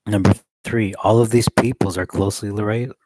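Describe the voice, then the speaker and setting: A man reading a study text aloud in a measured way, adding occasional joking asides. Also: on the low side, steady tone, a little mellow, dry recording, low-quality recording.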